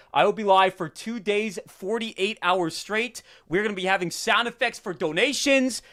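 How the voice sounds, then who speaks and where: A young man with a stuffy, sniffly voice, hosting a casual live stream and greeting viewers in rapid-fire hype. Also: high-pitched, a bit bright. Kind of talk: casual livestream chat.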